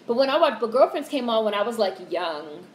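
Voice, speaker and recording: A young woman in her twenties hosting a casual livestream, talking in a monotone way, candid and swearing casually as she reads out comments. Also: fairly high pitch, fairly quick. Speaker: livestream host. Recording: roomy echo with faint background hiss.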